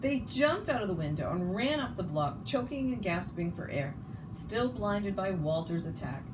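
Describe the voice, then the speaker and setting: A woman's voice: medium pitch, animated, moderate pace, recorded from a distance. A woman reading a children's story aloud expressively, sometimes stumbling and rereading words. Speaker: storybook reader. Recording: noticeable background noise.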